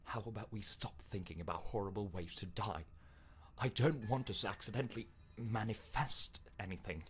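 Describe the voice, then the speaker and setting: A man voicing stubborn, theatrical inner voices from a dark fantasy game in an intense, deliberate manner. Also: lowish voice. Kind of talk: dramatic game character acting.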